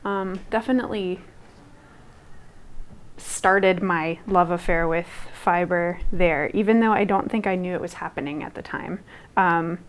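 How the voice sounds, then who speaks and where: Young woman artist, low voice, rambling. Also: measured pace, smooth. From Guatemala. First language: Spanish. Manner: rambling, many fillers and restarts. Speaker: textile artist. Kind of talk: casual interview talk.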